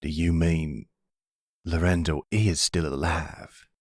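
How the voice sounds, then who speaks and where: A man acts as an aging mobster patriarch in an audio drama. His voice is very deep and rough, recorded close and dry. He speaks in unhurried, folksy tough-guy phrases, steady and protective as he probes. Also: dry recording, heavily acted. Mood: insistent. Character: older mobster grandfather, steady, protective, probing.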